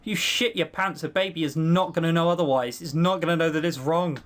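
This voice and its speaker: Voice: medium pitch, fairly quick, lightly acted. A voice actor playing an ancient fiend trapped in a circle for centuries, mocking and brooding, breaking into anguished outbursts, in a first-person audio roleplay. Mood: musing.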